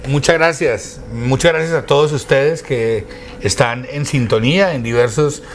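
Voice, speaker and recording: A man's voice: mid-range voice, a little slow. Eloquent Colombian man over background noise. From Quindío, Colombia. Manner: eloquent, formal, elaborate phrasing. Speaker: interview guest, academic and radio station director. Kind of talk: conversational storytelling in an interview.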